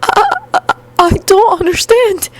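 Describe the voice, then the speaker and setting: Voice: extremely high pitch, racing, slight background noise, voice pushed up. A narrator voicing a timid, frightened teenage boy hero student who stammers and hesitates. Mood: frightened, shaken.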